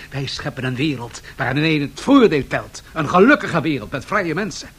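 Radio satire: self-assured economist boss, husky, slightly high voice, lecturing his juniors. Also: a bit bright. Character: cynical, commanding male economist financier. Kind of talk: satirical radio drama acting.

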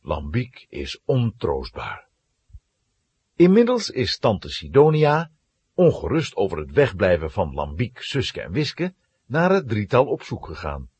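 A man giving dramatic, expressive scripted narration of a children's story. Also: lowish voice, a little slow, breathy edge.

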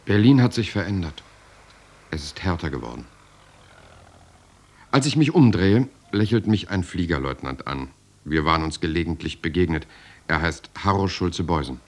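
An actor playing a German writer who recounts his years in the resistance in a measured, reflective way. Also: low-pitched, animated. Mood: sober, reflective. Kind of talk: first-person radio drama narration.

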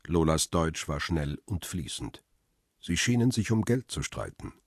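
Very deep, husky crime narrator. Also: male voice, very animated, no echo, studio quality, full character voice. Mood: tense, restrained. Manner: measured, descriptive storytelling. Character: tense hunted photographer telling his own story. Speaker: narrator and protagonist. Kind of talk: radio crime drama narration.

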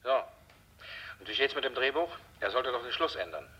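A man's voice: mid-range voice, big pitch swings, bright, forceful tone, husky, exaggerated voice. An actor playing a pushy, self-satisfied male film boss in a 1960s German radio drama, heard through a telephone filter. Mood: bossy, jovial. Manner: brisk, bossy. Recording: dull, noisy telephone-line sound.

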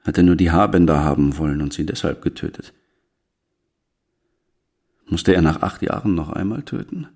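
A brooding detective in a radio play. The voice is very deep and gravelly. He muses gravely, in questions, with a husky tone. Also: moderate pace, pauses often, darkish tone. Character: brooding male detective pondering a murder. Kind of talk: radio drama inner monologue.

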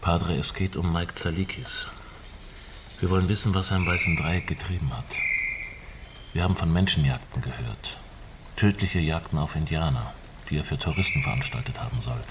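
Deep-voiced earnest investigator. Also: male voice, normal speed. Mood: earnest, respectful. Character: serious male investigator respectfully questioning a priest. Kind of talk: radio drama acting, interview questioning. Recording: clear insect and animal ambient noise.

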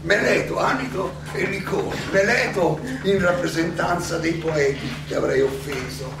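Old greek philosopher on trial, stage monologue, ironic n defiant, creaky voice, noisy far-off recording. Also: male voice, a little high, very echoey. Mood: grave, resolute. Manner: rhetorical, sardonic, with questions and dramatic pauses. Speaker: stage actor. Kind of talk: theatrical courtroom monologue.

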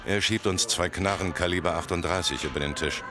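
A man's voice: deep voice, a little fast, close to an everyday voice. An actor playing a tough, laconic gangster recounting his rise in a German radio play. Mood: grim, detached. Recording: music behind studio radio play.

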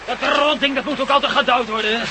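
Whiny sarcastic explorer, really high pitched for a man, noisy old radio play. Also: fairly even intonation, quick pace. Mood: wry. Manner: complaining, mocking. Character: grumbling, sarcastic male travel companion, hungry and weary. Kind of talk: Dutch radio drama acting.

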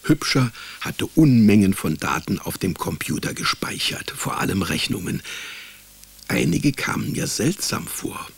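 Gravelly, deadpan detective narrator. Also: male voice, on the low side, unhurried, heavily acted. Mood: dry, pleased. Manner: dry, laconic, wry. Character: deadpan male private detective narrating his case. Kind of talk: scripted first-person radio drama narration.

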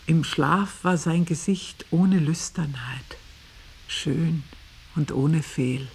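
A woman playing a bitter, earthy older maidservant, telling her story in a dramatic monologue with a husky voice. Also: deep voice, takes it slowly, heavily acted. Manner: scornful, vivid, shifting between murmur and emphasis.

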